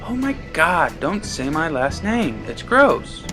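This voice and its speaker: Man putting on a high-pitched, flirty tipsy woman. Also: very animated, slow pace, over music. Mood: embarrassed, tipsy. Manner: teasing, flirtatious. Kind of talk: dramatic story reading.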